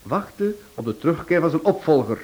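An actor playing a theatrical sleuth in a radio play, telling the case emphatically and with relish. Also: male voice, fairly high pitch, big pitch swings, moderate pace, warm tone. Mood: dramatic relish. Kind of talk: Dutch radio play acting.

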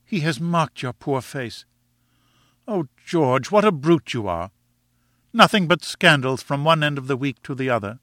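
A man's voice: fairly high pitch, expressive, unhurried, a bit bright, no echo, clean studio sound, pitched above the performer's own voice. A man voicing a small, furious, scolding wife who exclaims indignantly in an audiobook. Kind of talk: audiobook character acting.

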